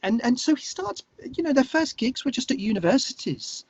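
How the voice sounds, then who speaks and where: A man's voice: very high voice, animated, a little fast, breathy edge, no room sound, lo-fi sound. A British man talking earnestly and discursively as a musician guest in a casual interview, often restarting his phrases.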